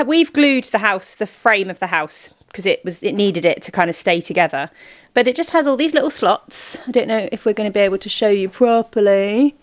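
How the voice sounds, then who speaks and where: Chatty woman vlogger explaining things. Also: fairly high pitch. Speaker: vlog host. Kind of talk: casual family vlog chat.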